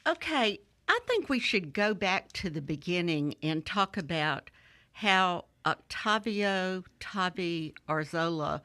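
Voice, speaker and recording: A woman's voice: fairly low pitch, expressive, takes it slowly, pushed and bright. A woman co-hosting a food talk show who guides the conversation and lightly teases her co-host. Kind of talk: casual interview chat.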